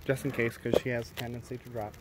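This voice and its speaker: A man beekeeper explaining a hive inspection outdoors in a halting way with lots of fillers, recorded on a handheld camera. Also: medium pitch, little pitch movement, very noisy. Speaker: beekeeper, co-host. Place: outdoors at a backyard beehive. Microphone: handheld camera mic. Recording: outdoor take with faint bee buzz.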